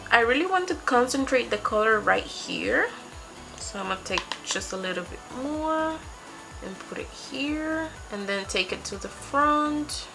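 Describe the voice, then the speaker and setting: Young woman, Spanish first language, slow pace, gravelly fry, makeup tutorial chat, music behind. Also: a little high, animated, poor audio. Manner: chatty, talks through each step while applying makeup. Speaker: beauty vlogger. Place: small home room with patterned wall. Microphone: webcam framing, seated at desk.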